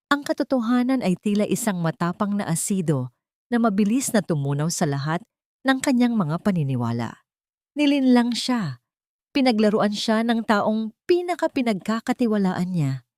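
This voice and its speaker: Low-voiced woman narrating drama. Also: darkish tone, no room sound, studio quality. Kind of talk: dramatic scripted story narration.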